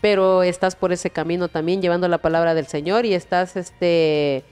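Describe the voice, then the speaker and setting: Smooth-voiced woman hosting a faith interview. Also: lowish voice, fairly even intonation, normal speed, soft background music. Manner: warm preachy phrasing with frequent "¿verdad?" prompts. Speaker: host.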